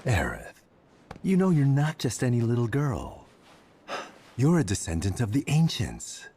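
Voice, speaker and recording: An actor playing a smooth-talking, insistent man of authority in a video game cutscene. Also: mid-range voice, huge pitch swings, measured pace, warm tone, a little hiss or hum. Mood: coaxing.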